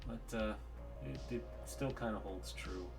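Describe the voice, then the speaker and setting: A man narrating as game master for a tabletop roleplaying game, relaxed and laughing often. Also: on the low side, measured pace, gravelly, faint music behind.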